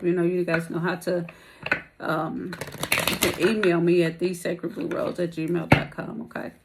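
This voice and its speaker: A woman's voice: fairly low pitch, noisy background. A woman whose voice is wearing thin gives a loose, chatty tarot reading. She rambles from card to card in slangy, familiar talk and keeps calling her listeners baby and y'all. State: losing her voice. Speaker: tarot reader. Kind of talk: casual spiritual tarot reading.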